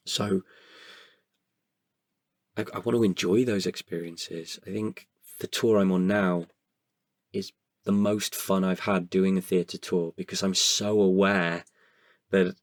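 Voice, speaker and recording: A British man with a low, husky voice talks in a reflective interview. He is candid and thoughtful, feeling his way hesitantly through his answers. The sound is close and dry. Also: little pitch movement, slow pace, long pauses, no echo. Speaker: podcast guest, performer.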